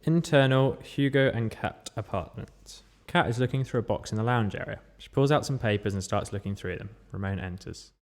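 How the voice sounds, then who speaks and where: A man's voice: lowish voice, moderate pace, natural voice. A man reading stage directions as scripted narration for a sitcom table read. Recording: reverberant hall sound.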